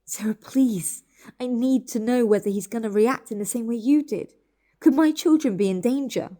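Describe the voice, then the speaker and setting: Mellow, anxious British woman played by an actress. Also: fairly high pitch, normal speed, lightly acted. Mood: urgent, pleading. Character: anxious, insistent woman questioning her relatives in hospital. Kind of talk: naturalistic audio drama acting.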